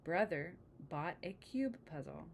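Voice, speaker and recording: Female storybook reader, slightly low register, chatty with young listeners, faint noise on the recording. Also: lively intonation, unhurried, gravelly. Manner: engaging, asks the listener questions. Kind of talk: read-aloud of a children's picture book with chatty asides to the listener.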